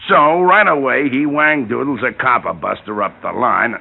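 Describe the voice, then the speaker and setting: An actor playing a gruff, aging, heavy-set railroad detective, gravelly and full of folksy slang, in a 1950s radio drama. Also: male voice, medium pitch, normal speed. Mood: eager, slangy. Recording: tinny narrowband old radio recording.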